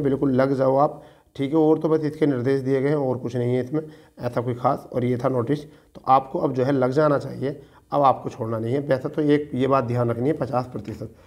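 A man's voice: mid-range voice, a little mellow. A man presenting like a coaching teacher, reading out and explaining an official notice, repeating key points for his viewers. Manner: instructive, addresses viewers as friends, repeats points for emphasis. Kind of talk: explanatory exam update, reading a notice aloud and commenting.

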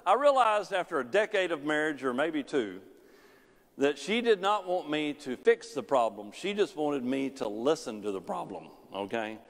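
A man's voice: sing-song, normal speed. A middle-aged male pastor preaching a sermon in a large church sanctuary, pacing the stage. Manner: preaching with wide swings in pitch and loudness, pacing the stage. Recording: long church hall reverb.

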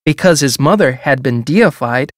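A woman playing a composed museum audio guide, reading educational narration in a measured, formal manner. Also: a bass voice, animated, no room sound, studio quality. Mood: composed, informative.

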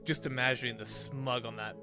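A man's voice: medium pitch, fairly even intonation, fairly quick. A man streaming a game, reading visual novel narration and dialogue aloud in a dramatic way. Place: indoor room at a streaming setup. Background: game music.

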